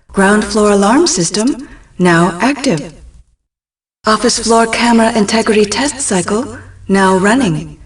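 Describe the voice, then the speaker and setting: Slow, impassive security computer. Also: female voice, fairly high pitch, distant mic. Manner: measured, impersonal, announcing. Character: impassive female computer of a building security system. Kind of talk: scripted video game system announcements.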